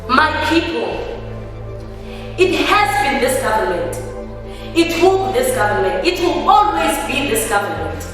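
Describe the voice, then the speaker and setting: A woman's voice: high-pitched, very animated. A South African woman performing a declamatory stage monologue as an anguished young daughter protesting to her people. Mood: impassioned, defiant. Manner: declamatory, deliberate, repeating phrases with rising emphasis. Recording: very echoey hall, distant mic, faint music.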